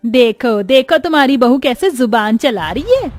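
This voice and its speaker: A woman puts on an elderly, scolding mother-in-law for a family tale. She is high-voiced, bitter and melodramatic, taunting and pitying herself. The recording is dry, with music behind. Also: lively intonation, moderate pace, a little mellow, no echo. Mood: scornful. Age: older. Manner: scornful, self-pitying, theatrical complaining.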